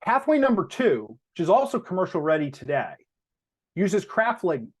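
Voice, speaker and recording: Male corporate presenter, slightly high voice, lively intonation, deliberate emphasis on key terms, explanatory earnings-call delivery. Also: slightly rough.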